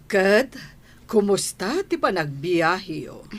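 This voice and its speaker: Woman playing slow-talking madam bar boss. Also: mid-range voice, big pitch swings. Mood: welcoming. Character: unhurried, welcoming older female bar boss. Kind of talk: scripted radio-style drama.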